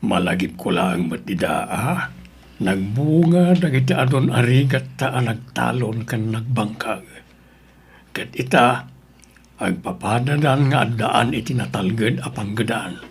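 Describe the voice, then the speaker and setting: An actor plays a weary old farmer in a radio drama. His voice is creaky and hoarse-edged, and he comes across as stubborn yet devoted to his children. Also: mid-range voice, animated, unhurried, full character voice. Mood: wistful. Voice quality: gravelly, raspy.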